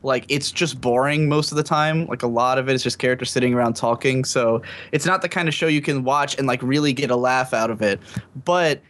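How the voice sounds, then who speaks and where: A man with a medium-pitched voice in a casual podcast conversation. He rambles through his thoughts as a guest and chuckles as he talks. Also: brisk, a bit bright. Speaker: podcast guest, video maker.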